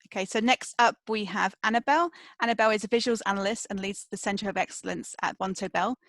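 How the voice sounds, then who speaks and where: A woman moderates a webinar and introduces the next speaker in a brisk, organized way. Her voice sits at a middle pitch. The sound is dry and close. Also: fairly bright tone, dry recording.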